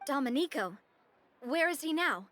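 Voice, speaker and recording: A woman playing a confident, brisk young gang leader in a video game, self-assured and playful. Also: high-pitched, animated, fairly bright tone, a little hiss or hum. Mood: matter-of-fact.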